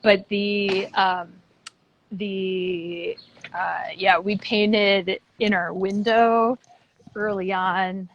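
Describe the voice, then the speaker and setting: A woman's voice: mid-range voice, level tone, extremely slow, muffled recording, a little hiss or hum. Upbeat, chatty woman on a video call. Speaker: puppet theater director, interview guest. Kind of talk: casual chat in an online video interview. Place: at the theater, moving between outside and lobby on a video call. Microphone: phone or laptop on a video call.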